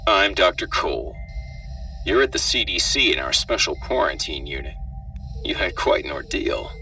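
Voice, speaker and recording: A man's voice: sits low, sing-song, normal speed, sharp tone, recorded from a distance, faint music behind, voice pushed up, full character voice. A man voicing a callous, flippant government doctor in a hazmat suit, with a robotic, matter-of-fact delivery in a horror story. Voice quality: robotic, muffled through a suit. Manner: matter-of-fact, darkly joking.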